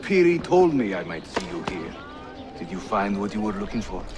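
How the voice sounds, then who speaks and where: A man's voice: fairly low pitch, big pitch swings, moderate pace, background noise. An actor playing a genial Ottoman assassin lieutenant in a video game, easygoing and dryly humorous. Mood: curious, friendly. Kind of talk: cinematic video game acting. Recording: music behind the voice.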